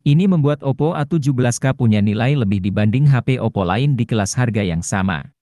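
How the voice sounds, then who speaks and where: A man's voice: medium pitch, animated, brisk, warm tone, no room sound. A man reads a formal, informative scripted voice-over for a gadget review. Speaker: narrator of gadget review videos. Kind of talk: scripted narration.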